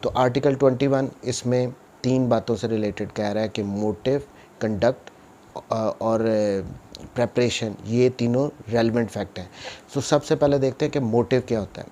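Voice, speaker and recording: A man's voice: medium pitch, steady tone, normal speed, dark tone, a little hiss or hum. A man with a Pakistani accent giving an explanatory law lecture, mixing in English terms and answering his own questions step by step.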